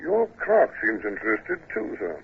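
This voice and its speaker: An actor playing a loyal, doubting British naval officer in an old-time radio drama, puzzled and deferential, with a gravelly voice. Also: male voice, fairly low pitch, wildly swooping pitch, heavily acted. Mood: doubtful. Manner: deferential, puzzled, slow and skeptical. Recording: narrow old radio recording, faint noise.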